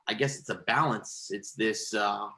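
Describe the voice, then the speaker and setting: A man's voice: on the low side, measured pace, pushed and bright. A man who stutters, a podcast guest telling his own story in a reflective, conversational way, with repeated words and restarts. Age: adult.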